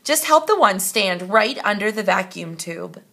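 A woman voicing an excitable male count from a storybook, drawing out his exclamations. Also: medium pitch, unhurried, vocal fry, full character voice. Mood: coaxing. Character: excitable old male count of numbers, inventor.

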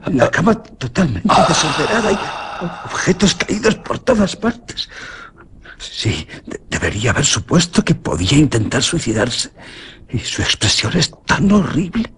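Horror radio drama: shaken doctor, halting, slow, highly animated. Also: male voice, a little hiss or hum. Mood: horrified. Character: shaken male doctor discovering a dead patient, horrified.

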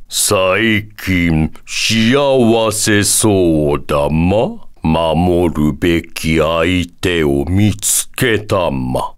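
A man voicing a wise, proud elderly bear-like sage in a video game, measured and calm, ending sentences with a quirky verbal tic. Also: deep voice, clean tone, exaggerated voice. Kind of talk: video game character voice acting.

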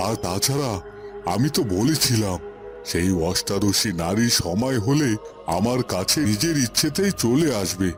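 A man playing a remorseful but hopeful holy hermit in a cartoon fairy tale, speaking solemnly in short, deliberate phrases. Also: lowish voice, natural voice.

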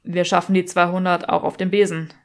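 A woman's voice: on the low side, little pitch movement, a little fast, natural voice. A woman voicing a young male wizard, cocky, teasing and protective, speaking tersely in an audiobook. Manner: terse, self-assured.

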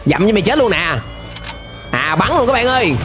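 Young male reaction host, Southern Vietnamese accent, high voice, exclamatory, over a loud soundtrack. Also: brisk. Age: young adult. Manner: exclamatory, animated. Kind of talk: excited reaction commentary. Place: indoor room, gaming setup. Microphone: close desk microphone. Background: music and cartoon soundtrack.

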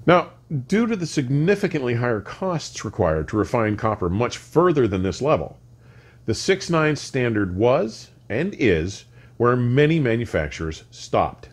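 An older man presenting a scripted technical explanation in measured, deliberate, emphatic phrases with precise wording. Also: mid-range voice, very animated. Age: older, around 60. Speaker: host of an audio explainer series. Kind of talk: scripted educational explanation to camera. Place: furnished home listening room, indoors.

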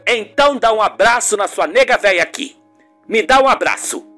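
A man's voice: sits high, lively intonation, normal speed, bright, forceful tone, faint music behind. A man voicing a melodramatic, guilt-ridden older mother in an amateur radio soap. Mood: pleading.

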